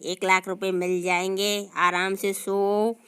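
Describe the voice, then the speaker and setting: A woman's voice: mid-range voice, little pitch movement, slow pace, a bit bright, smooth, pitched below the performer's own voice. A woman voicing a crude, blustering young husband in a comic sketch. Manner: blustering, threatening.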